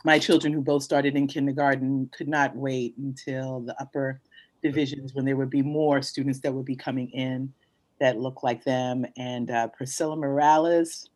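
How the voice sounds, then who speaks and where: A man speaks in an even, mid-pitched voice during a conversational interview. His tone is supportive and affirming. He talks as a parent sharing his view. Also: moderate pace.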